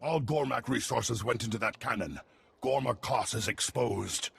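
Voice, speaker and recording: A man's voice: medium pitch, moderate pace, gravelly, a bit bright, husky, heavily acted. A man voicing a stern, formal alien commando leader in a video game, speaking in clipped, solemn declarations.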